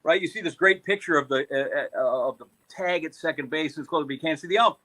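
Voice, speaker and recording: A man's voice: on the high side, fast, sharp tone. A man asking questions as a co-host in a casual interview.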